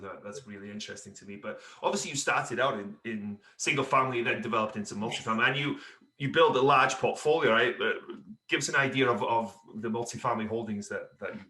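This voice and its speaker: Fast, bright-voiced male podcast host. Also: on the low side, echoey room. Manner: fast, affirming, repeats words. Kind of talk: interview questions.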